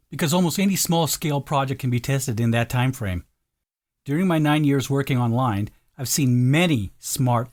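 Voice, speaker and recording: A man narrating a scripted sales voice-over, speaking directly and persuasively to the listener. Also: mid-range voice. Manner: direct, persuasive second-person address.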